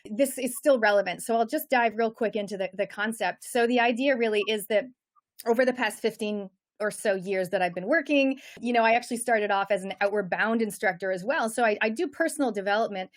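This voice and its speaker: A woman's voice: medium pitch, fairly quick. A middle-aged woman with a Canadian accent, a coach talking energetically and chattily as a guest in an interview. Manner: energetic, chatty, many fillers. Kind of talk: casual interview chat.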